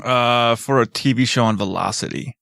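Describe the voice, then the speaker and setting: A man's voice: medium pitch, moderate pace, vocal fry, fairly bright tone, dry recording, studio quality. Laid-back man in his thirties. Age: 30s. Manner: laid-back, jokey storytelling. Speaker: podcast co-host. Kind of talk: casual chat.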